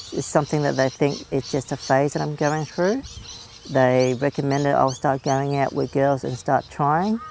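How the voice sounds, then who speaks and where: Earnest young man with a gravelly voice. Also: medium pitch, darkish tone, a little hiss or hum. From Australia, family from Vietnam. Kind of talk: documentary interview testimony.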